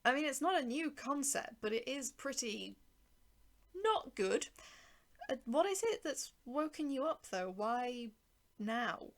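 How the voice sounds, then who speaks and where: A woman's voice: high-pitched, very animated, slow. A woman narrating a first-person short story and voicing its dialogue, with a wry, sardonic manner. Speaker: writer and narrator.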